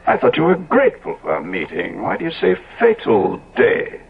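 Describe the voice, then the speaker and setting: Radio play: jaded ageing lord, urbane and wry, lively inflection, narrow phone-like old sound. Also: male voice, on the low side, normal speed, gravelly, husky, exaggerated voice. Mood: curious, envious. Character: weary, ageing, decadent English aristocrat, worldly and wry. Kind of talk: 1970s radio drama acting. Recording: tinny old radio recording, crowded background.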